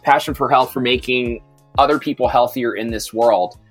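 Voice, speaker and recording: A man hosts a health podcast, introducing his guest with upbeat enthusiasm. His voice sits at an ordinary pitch, with faint music underneath. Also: steady tone, normal speed. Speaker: podcast host. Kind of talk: podcast interview host.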